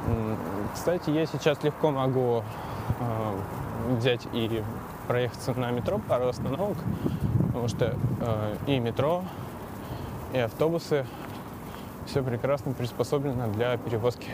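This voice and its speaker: A man's voice: mid-range voice, unhurried. A man hosting a podcast, talking in a rambling, hesitant way as he rides a bicycle through city streets. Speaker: podcast host. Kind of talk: casual monologue while cycling outdoors. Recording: loud street traffic noise. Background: traffic and city sounds.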